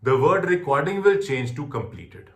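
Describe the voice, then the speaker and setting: Male test-prep trainer, Indian accent, instructional delivery with lively intonation and emphatic repetition, recorded in a reverberant room. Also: mid-range voice, moderate pace. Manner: emphatic, repeats key points. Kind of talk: instructional lecture on exam tips.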